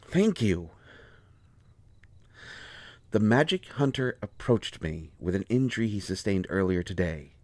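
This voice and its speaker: A man's voice: fairly low pitch, lively intonation, a little slow, pauses often, dry recording. A man acting out a harried, protective royal court doctor in an audio roleplay, defensive and exasperated with a lover. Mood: earnest, weary. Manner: pleading, exasperated, swinging from soft explaining to flustered outbursts. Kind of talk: dramatic audio roleplay, one side of a conversation.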